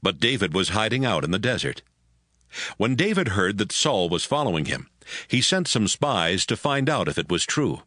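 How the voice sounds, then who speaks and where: A man narrating a scripted audio Bible as a story, in a measured storytelling manner. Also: lowish voice, sing-song, bright, forceful tone, no room sound, studio quality.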